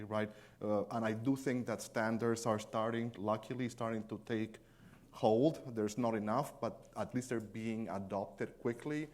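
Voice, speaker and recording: A man's voice: on the low side, a little mellow. A man from Chile speaking as an industry panelist in a conference panel discussion, conversational, often checking in with "right?" and slipping in dry jokes. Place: conference stage.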